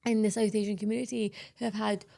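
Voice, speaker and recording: A woman's voice: medium pitch, little pitch movement, fast talker, gravelly, mellow. A Scottish woman hosting a podcast, talking candidly and emphatically in casual interview chat. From Scotland. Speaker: podcast host.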